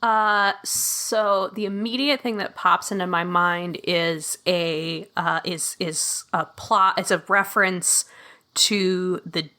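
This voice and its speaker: Bright-voiced chatty woman playing a game. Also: on the low side, steady tone, slow pace. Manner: chatty, laughs often, slips into a posh in-character voice. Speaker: guest player. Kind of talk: casual play chat.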